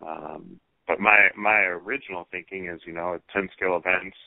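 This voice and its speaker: A man talking casually as a racer being interviewed over the phone, pausing often as he thinks through his answers. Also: sits low, fairly even intonation, dry recording. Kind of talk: casual phone interview about hobby racing. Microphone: telephone. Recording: tinny, band-limited phone line.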